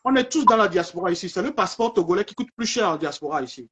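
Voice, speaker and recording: A man's voice: high-pitched, animated, quick pace, fairly bright tone, husky. A man from Togo joining a live debate, insistent and repeating his points.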